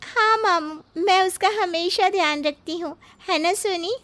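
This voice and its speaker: A sweet little schoolgirl in an animated family story, with a very high voice. She is cheerful, childlike and polite. The sound is dry. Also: a little slow, dry recording.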